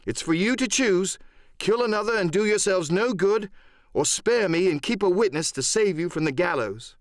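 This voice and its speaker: A man's voice: high-pitched, animated, normal speed, no echo, clean studio sound, pitched above the performer's own voice. A man playing a brave, defiant teenage boy in an audiobook, speaking in an earnest, bold, declamatory way. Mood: defiant, excited.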